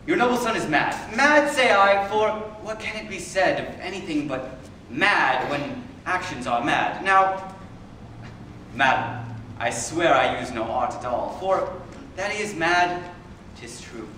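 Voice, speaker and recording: A man playing a pompous, rambling old courtier in a comedic classical stage monologue, halting and repeating himself theatrically. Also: fairly high pitch, animated, pushed and bright. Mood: self-important, meandering. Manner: halting, repetitive, drawn-out theatrical phrasing. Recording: very echoey room, far from mic, faint noise.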